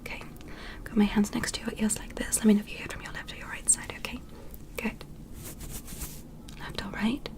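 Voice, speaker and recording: A woman's voice: mid-range voice, little pitch movement, natural voice. Whispering alien examiner, played by a woman. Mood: calm, curious. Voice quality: soft breathy whisper. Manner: slow, careful, soothing step-by-step instructions. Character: gentle, curious alien medical examiner studying a human. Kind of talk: ASMR medical sci-fi roleplay.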